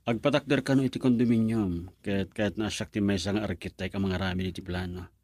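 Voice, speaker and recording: A man's voice: on the low side, fairly even intonation, quick pace, a little mellow, no room sound, lightly acted. A man with an Ilocano Filipino accent acting in a radio drama as a courteous professional, an attentive son and suitor. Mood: businesslike.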